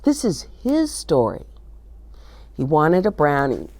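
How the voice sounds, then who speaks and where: A woman's voice: sits low, wildly swooping pitch, slow, darkish tone. An older woman hosting a story time, chatting expressively about picture books and putting questions directly to young listeners. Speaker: host of a children's story time. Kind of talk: read-aloud and chatty book talk for children.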